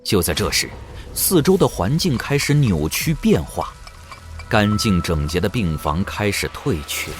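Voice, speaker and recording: A man's voice: lowish voice, sing-song, measured pace. A man narrating an audio drama in a dramatic, scripted storytelling style. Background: background music.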